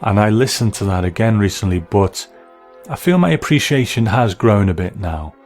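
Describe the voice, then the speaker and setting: A man with a very low-pitched voice presents a review podcast. He talks in a considered, reflective way, weighing his points as commentary. Faint music plays behind him. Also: lively intonation, normal speed, a little mellow. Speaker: podcast host.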